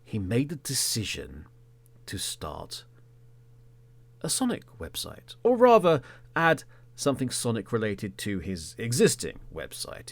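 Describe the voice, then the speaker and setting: An Englishman in his thirties, a podcast host telling a story in the third person as a monologue, theatrically, with emphatic words and phrases that trail off into a hush. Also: sits low, creaky, breathy edge.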